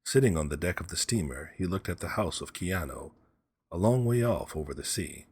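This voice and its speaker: A man narrating a story as an audiobook reader, in measured, simple phrasing. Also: deep voice, expressive, a little mellow, husky, no room sound, studio quality.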